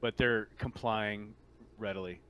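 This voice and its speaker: A man casually improvising narration as game master of a tabletop game, in a halting, informal way. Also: on the low side, fairly even intonation, dull audio, slight background noise.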